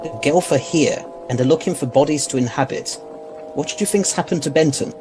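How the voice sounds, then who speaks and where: A man playing a brisk, authoritative scientist adventurer in an audio drama. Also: a little high, music in the background, natural voice. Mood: intent.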